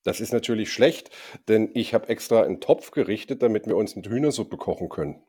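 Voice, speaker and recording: A man co-hosting a casual chat, his voice a little hoarse from a cold, teasing dryly and pausing with many ums. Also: fairly low pitch, expressive, dark tone. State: slightly hoarse, recovering from a cold. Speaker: podcast co-host.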